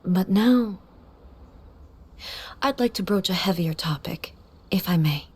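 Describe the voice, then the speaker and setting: A woman playing a solemn, grieving immortal ruler in a video game, speaking formally and softly with measured composure. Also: fairly low pitch, lively intonation, slightly rough, heavily acted. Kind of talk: dramatic video game voice acting.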